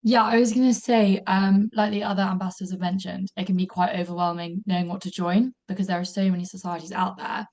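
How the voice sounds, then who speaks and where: A woman's voice: medium pitch, steady tone, fast talker, hardly any pauses, darkish tone. A young woman, a student ambassador, answering on a webinar panel in an upbeat way. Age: young adult.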